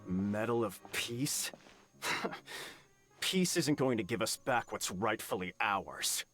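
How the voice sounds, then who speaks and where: A scheming male villain from a fantasy game. His delivery is very animated, sneering and sure of itself. Faint music sits behind him. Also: on the low side, takes it slowly. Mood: scornful. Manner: sneering, dismissive. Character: scheming, confident villainous male political conspirator. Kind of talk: video game voice acting.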